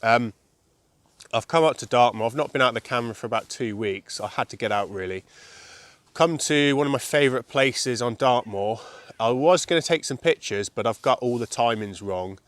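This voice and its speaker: A man in his thirties with a croaky voice from the flu, chatting casually to a handheld camera outdoors on open moorland, rambling with lots of ums. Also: mid-range voice, normal speed, dry recording. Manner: rambling, relaxed, many ums and kind ofs. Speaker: landscape photography vlogger. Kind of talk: casual vlog talk to camera. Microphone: camera held at arm's length.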